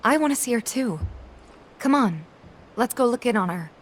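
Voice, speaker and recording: An actress playing a kind, caring young woman in a video game, speaking gently and earnestly. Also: a little high, lively intonation, normal speed, slight background noise, close to an everyday voice.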